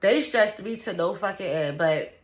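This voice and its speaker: A woman's voice: mid-range voice, expressive, normal speed. A woman in her thirties venting casually to the camera, blunt and profane, with lots of "like" and "you know what I'm saying". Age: 30s. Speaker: vlogger.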